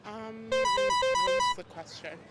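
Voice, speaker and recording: A young guest in a street interview outdoors, answering reflectively and playfully acting out the lines of people being quoted. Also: male voice, high voice, fairly even intonation, extremely slow, over loud music. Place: outdoors on a city street in New York.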